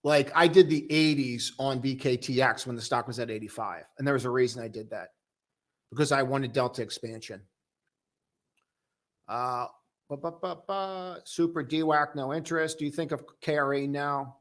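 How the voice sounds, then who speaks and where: A young man casually hosting a live stock-trading stream, chatting loosely and joking with viewers in a relaxed, tired way. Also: medium pitch, moderate pace. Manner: loose, joking, full of restarts and "dude". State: tired, low on coffee.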